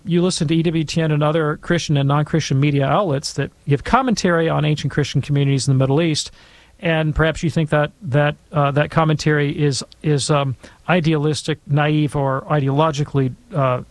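A man answering an audience question in a thoughtful, measured, explanatory way. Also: a little high, fairly even intonation, no room sound.

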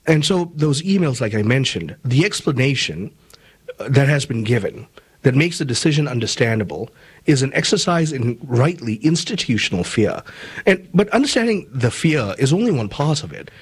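Husky-voiced man hosting a talk show. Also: medium pitch. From Malaysia. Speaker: host. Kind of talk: commentary and interview.

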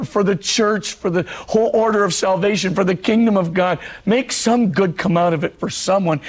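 A middle-aged priest speaks with a husky, hoarse voice that is fraying at the edges. His gravelly, breathy delivery sits slightly high, and he tells his story earnestly, driving points home with repetition. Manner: earnest storytelling preacher, repeats phrases for emphasis. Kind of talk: televised religious talk.

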